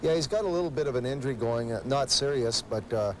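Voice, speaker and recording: A man's voice: mid-range voice, lively intonation, quick pace, a little hiss or hum, rough recording. A man speaking as a hockey coach in an interview, conversational and matter-of-fact. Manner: plain-spoken, matter-of-fact. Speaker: ice hockey head coach.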